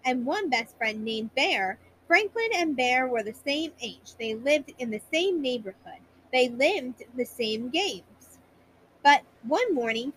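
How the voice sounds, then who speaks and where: A woman storyteller narrating a children's bedtime story in a high-pitched voice. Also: fairly bright tone, a little hiss or hum, poor audio.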